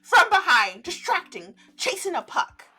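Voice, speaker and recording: Woman, let's-play narrator: extremely high pitch, highly animated range, giggly and gushing, music behind. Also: unhurried. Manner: giddy, giggly, gushing reactions breaking into the reading. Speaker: streamer playing and reading a visual novel aloud.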